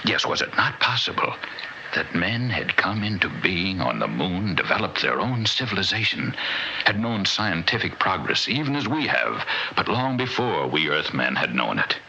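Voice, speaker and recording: An actor playing an older, haunted, philosophical scientist in a 1960s radio drama, gravelly and portentous in delivery. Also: male voice, low voice, very animated, sharp tone, full character voice. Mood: haunted, intense. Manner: portentous, deliberate, dramatic storytelling. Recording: dull, noisy old radio recording.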